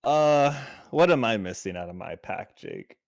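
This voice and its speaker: A man's voice: mid-range voice, expressive, measured pace, creaky, no echo, studio quality. Wry man playing a tabletop game. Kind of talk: casual game-table chat.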